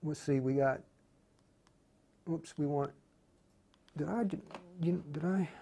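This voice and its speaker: Higher-pitched man thinking aloud through a tutorial. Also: dark tone, a little hiss or hum. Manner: halting, muttering to himself while working. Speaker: tutorial host demonstrating radio programming.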